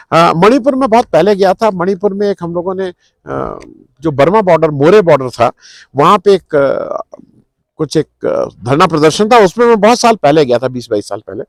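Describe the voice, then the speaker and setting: A man's voice: on the high side, no echo. A middle-aged man gives unscripted political commentary, explaining things to viewers in a conversational way and mixing in English words. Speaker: news commentary host.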